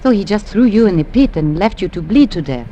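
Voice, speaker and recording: An actress playing a gentle, devoted young South American servant woman with a Spanish accent and a formal, solicitous manner. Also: mid-range voice, expressive, fairly quick, muffled recording, slight background noise, lightly acted. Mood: concerned. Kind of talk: old-time radio drama acting.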